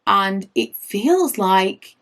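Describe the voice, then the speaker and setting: A woman in her late thirties, slightly hoarse from losing her voice, chatting through her makeup favourites and wandering off on tangents. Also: mid-range voice, extremely slow. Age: late 30s. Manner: rambling, goes off on tangents; animated, rises when indignant. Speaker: beauty vlogger.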